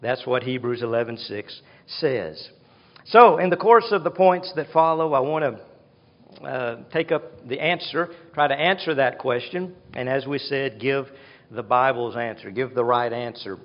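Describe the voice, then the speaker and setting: A man preaching a sermon, teaching slowly and deliberately, and repeating key words for emphasis. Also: medium pitch. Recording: dull hall reverb.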